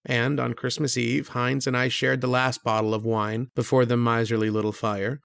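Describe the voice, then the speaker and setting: An actor playing an earnest, kindly struggling writer who narrates an old-time radio horror drama in a reflective, hesitant way. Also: male voice, mid-range voice, level tone, moderate pace, creaky, a bit bright, clear, resonant tone, no room sound, clean studio sound. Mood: pensive, hushed.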